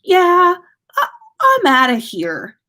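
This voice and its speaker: A woman's voice: very high-pitched, huge pitch swings, slow, sharp tone, voice pushed up, heavily acted. A woman reading as a nervous teenage wizard schoolboy in a story, stammering and hesitant. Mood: nervous, shaken.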